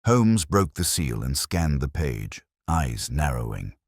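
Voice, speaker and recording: An extremely low-voiced man narrates a detective mystery like an audiobook. His delivery is measured, literary and dramatic, with lively swings in pitch and a dry, close sound. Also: no echo. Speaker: narrator, storyteller.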